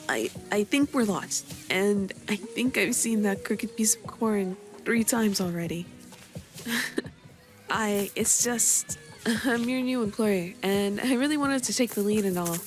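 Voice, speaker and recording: A woman's voice: mid-range voice, unhurried, soft background music, lightly acted. A woman voicing a sweet, flustered young employer who stammers sheepishly and is eager to please, in a scripted roleplay. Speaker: virtual streamer voicing her persona. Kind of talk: scripted roleplay addressed to the listener.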